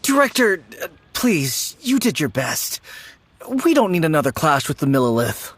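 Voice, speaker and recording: A woman's voice: low voice, big pitch swings, moderate pace, slight background noise. A woman playing a worried, apologetic funeral parlor assistant in a video game, hesitant and deferential. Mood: anxious, deferential. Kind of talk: video game character acting. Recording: game audio with background music.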